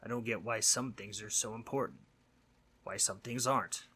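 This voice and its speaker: Sleep demon , played by a man: fairly low, raspy, forceful edge; mischievous, earnestly concerned, casual teasing delivery for roleplay audio. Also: normal speed. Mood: worried, reflective. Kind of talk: scripted roleplay audio monologue.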